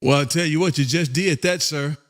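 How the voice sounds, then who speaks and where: High-pitched, exuberant American man. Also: a little fast, a little hiss or hum. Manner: folksy, exuberant, repeats phrases for emphasis. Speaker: amateur radio host and operator. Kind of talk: live radio contact chat.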